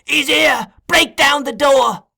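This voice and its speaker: A man's voice: very high-pitched, slow, creaky, sharp tone, shouting, pitched above the performer's own voice, heavily acted. A man reading aloud, voicing a terrified boy who screams for help in a frantic rage. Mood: screaming.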